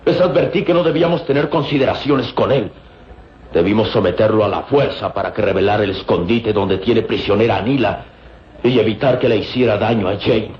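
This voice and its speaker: A man's voice: lowish voice, brisk, a little hiss or hum, lightly acted. An actor playing an impulsive, hot-tempered man who speaks insistently in an old radio drama. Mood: bitter, accusing. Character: impulsive, accusing male ally, hot-tempered. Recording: roomy, dull old radio recording.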